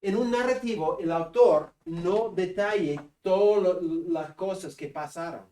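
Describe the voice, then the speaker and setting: A man with a northern Peruvian Spanish accent giving a seminary class lecture, joking with students and pausing on fillers. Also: high voice, dark tone. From Peru. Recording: echoey classroom from a distance.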